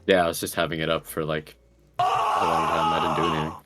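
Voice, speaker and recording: A man's voice: a bass voice, level tone, fast, sharp tone, faint music behind. A native French-speaking man giving brief, understated replies in a casual livestream chat.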